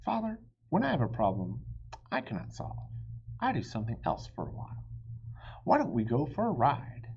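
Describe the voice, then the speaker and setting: A man's voice: medium pitch, huge pitch swings, moderate pace, vocal fry, slightly rough, muffled recording, exaggerated voice. A man voicing an eager, earnest young boy, a knight's small son in a storybook. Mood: earnest, helpful.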